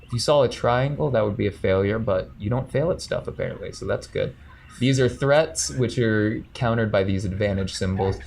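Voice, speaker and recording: A man's voice: fairly low pitch, moderate pace, creaky, a little mellow. Man running a tabletop game. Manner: methodical, with frequent ums and self-corrections. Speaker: game master. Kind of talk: explaining dice rules at a tabletop game.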